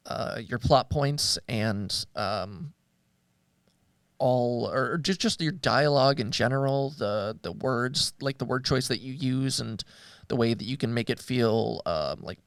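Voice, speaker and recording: A man's voice: mid-range voice, creaky, clear, resonant tone, no echo, studio quality. A man co-hosting a show in casual discussion, speaking hesitantly and thoughtfully as he searches for words. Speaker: co-host of a media show.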